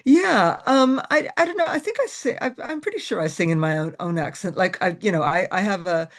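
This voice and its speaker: A middle-aged woman from the US with an American accent softened by years in Ireland and England, chatting as a guest on a podcast, laughing often and restarting her sentences. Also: mid-range voice, animated, brisk. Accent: mixed American with Irish and West Country traces. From USA. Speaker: singer-songwriter guest. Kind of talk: casual interview chat.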